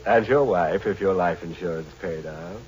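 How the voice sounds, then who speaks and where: An actor playing a macabre, tongue-in-cheek host of an old radio horror show, sly and theatrical. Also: male voice, sits low, normal speed, darkish tone, slight background noise, lightly acted. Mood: gleefully macabre. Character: macabre, tongue-in-cheek male horror host who relishes dark puns. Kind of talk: 1940s radio horror hosting and narration. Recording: dull old radio recording with music.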